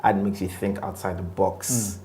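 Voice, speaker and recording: A man speaks as an interview guest in a low, easygoing voice. He talks in a reflective way and leans on fillers as he thinks. A faint noise hum sits under the recording. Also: unhurried. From Ghana. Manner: relaxed, reflective, with frequent fillers like "um" and "like". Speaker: guest, tech company co-founder. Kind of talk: casual interview conversation.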